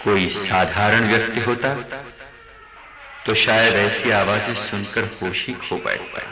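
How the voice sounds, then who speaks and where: An actor playing a sly, mocking male ghost that inhabits a corpse in a radio drama, with a theatrical, drawn-out delivery. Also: on the low side, steady tone, reverberant, background noise, natural voice. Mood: sly, probing.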